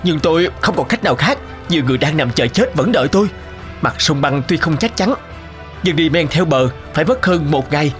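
An actor playing a brave, stubborn sled driver devoted to his dogs, earnest and dramatic, in an animated film. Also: on the high side, brisk. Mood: determined. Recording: music behind the voice.

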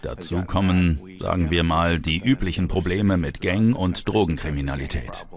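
A man's voice: low-pitched, moderate pace. An actor voicing a male police investigator recounting a case in a dubbed documentary interview. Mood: matter-of-fact.

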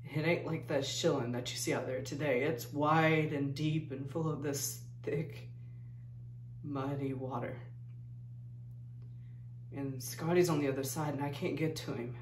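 Actress as a plain folksy woman grieving, halting dramatic monologue, haunted, roomy sound from a bit away. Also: low-pitched, steady tone, a little hiss or hum. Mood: subdued, wistful. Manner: subdued, halting, folksy storytelling. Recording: echoey room, distant microphone.

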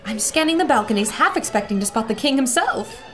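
A woman's voice: sits high, expressive, a little fast, a bit bright, soft background music. An actress playing an observant woman reporter who describes her adventure in an audio drama, storytelling as she goes. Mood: intrigued.

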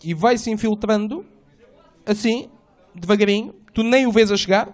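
A man doing a stand-up set in a small club, slangy and animated, acting out voices and sounds. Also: high voice, normal speed. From Portugal. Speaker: stand-up comedian. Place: small club stage, indoors. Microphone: handheld mic.

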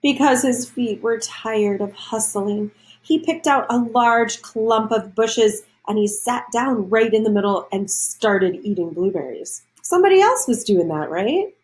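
A woman's voice: fairly high pitch, animated, measured pace, few pauses, rough recording. A woman reading a children's storybook aloud, adding explanations and questions for young listeners.

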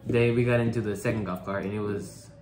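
A man's voice: on the low side, steady tone, quick pace, a little mellow. A man co-hosting a vlog, telling a story informally, repeating himself and bantering as he goes. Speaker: vlog co-host. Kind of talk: casual chat, storytelling. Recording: echoey room, faint background noise.

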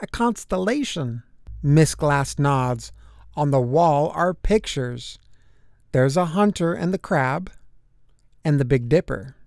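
A man narrating a children's picture book aloud, with deliberate, expressive phrasing for young listeners. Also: on the high side, clean tone, dry recording, clean studio sound. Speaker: storybook narrator.

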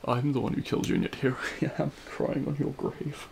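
A man playing a remorseful, self-loathing supervillain in an intimate audio roleplay, speaking in a halting, anguished way. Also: medium pitch, animated, gravelly, darkish tone, slight background noise. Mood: tearful self-loathing. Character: remorseful male supervillain confessing love at a grave.

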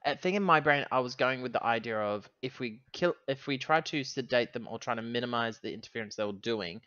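A man playing a tabletop roleplaying game, talking casually and thinking aloud as he deliberates and repeats himself. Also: mid-range voice, bright, forceful tone, no echo, dull audio.

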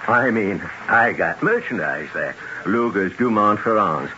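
Casting: grumbling, slangy gun dealer, very lively pitch, old radio drama, muffled noisy recording. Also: male voice, unhurried. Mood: brash, boastful. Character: irritated, talkative gun shop owner, a man. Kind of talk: 1970s radio drama acting.

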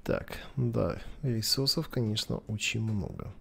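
A man's voice: lowish voice, a little slow, vocal fry, fairly bright tone, a little hiss or hum. A man gives casual let's play commentary, thinking aloud as if to a companion. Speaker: gameplay commentator.

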